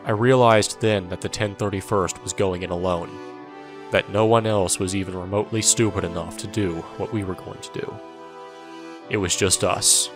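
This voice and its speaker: A world-weary soldier played by a man narrating an audio drama in the first person. His voice is low, with a dry, irreverent, sardonic delivery. Music sits underneath. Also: fairly even intonation, normal speed, lightly acted. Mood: grim. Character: wry, world-weary male soldier recounting his war.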